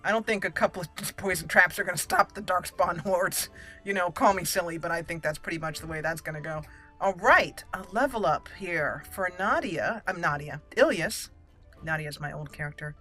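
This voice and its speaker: A woman's voice: lowish voice, moderate pace, pushed and bright, faint music behind. A woman hosting a game playthrough, chatting casually and playfully as she thinks aloud. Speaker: gaming channel host.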